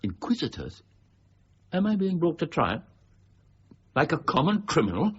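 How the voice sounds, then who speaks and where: An actor playing a proud, irascible older mint official in a period radio drama, brusque, formal and precise. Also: male voice, fairly high pitch, huge pitch swings, normal speed, breathy edge, full character voice. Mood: shocked, affronted. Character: proud, irascible older male royal mint warden, Isaac Newton. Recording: dull, faintly noisy radio recording.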